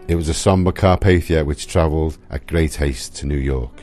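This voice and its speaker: A man narrating a radio drama from a script in a solemn, measured manner. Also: very deep voice, warm tone, faint music behind.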